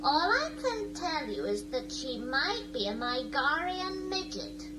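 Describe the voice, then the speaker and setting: A flighty, girlish female space-crew voice, high and wildly swooping. The delivery is breezy and exaggerated, as in an old radio sci-fi comedy, with heavy noise. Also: very animated, a little slow. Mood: puzzled, excitable. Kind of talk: 1980s radio science-fiction acting. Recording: very noisy 1980s radio drama recording.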